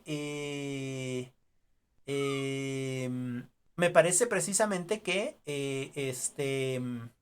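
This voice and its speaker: Mexican teacher lecturing over a video call. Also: medium pitch. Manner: hesitant, many fillers and tag questions. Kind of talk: online class lecture.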